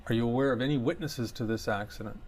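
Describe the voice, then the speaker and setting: Man playing examining lawyer, quick delivery, mid-pitched, procedural, matter-of-fact, formal questioning. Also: darkish tone, soft background music, natural voice. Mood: businesslike. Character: brisk, methodical opposing lawyer questioning a witness. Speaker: examining lawyer in a dramatized discovery. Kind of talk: formal legal questioning, dramatized.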